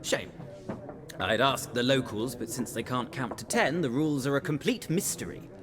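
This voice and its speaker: A man's voice: mid-range voice, animated, normal speed, noisy background, natural voice. An actor playing a proud, cultured male city scholar in a video game, eloquent and condescending. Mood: haughty.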